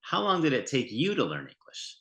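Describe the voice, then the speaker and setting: A man asks curious questions about a teaching program. His voice is bright and animated, with lively swings in pitch. The room gives it a clear echo. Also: brisk. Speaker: prospective volunteer. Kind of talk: scripted interview questions. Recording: roomy echo.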